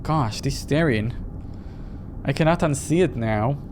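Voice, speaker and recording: A man hosting a game playthrough, reacting in an animated way as he reads and comments. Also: mid-range voice, a little slow, a little hiss or hum. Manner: reactive, animated, acts out dialogue while reading. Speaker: gaming video host. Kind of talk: playthrough reading and live commentary. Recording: music behind the voice.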